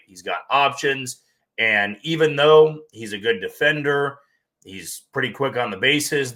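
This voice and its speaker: A hoarse man with a strained voice hosts a sports podcast and talks plainly through his opinions. His tone is bright and forward at a middling pitch. He keeps pushing on even though his voice is nearly gone. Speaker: podcast host.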